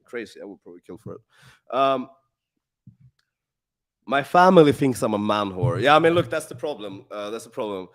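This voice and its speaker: A man's voice: medium pitch, animated, normal speed, long pauses, fairly bright tone. Loose, foul-mouthed man answering chat questions. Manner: casual, profane, heavy filler words. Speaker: live Q&A host. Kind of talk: live-stream Q&A chat. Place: in Warsaw.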